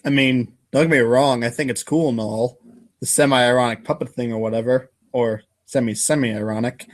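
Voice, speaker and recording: A man's voice: mid-range voice, steady tone, moderate pace, lightly acted. A man voicing an aloof, slangy teenage boy who rambles casually to hide his nerves in a comic reading. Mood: uneasy, rambling. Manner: casual, rambling, affectedly cool.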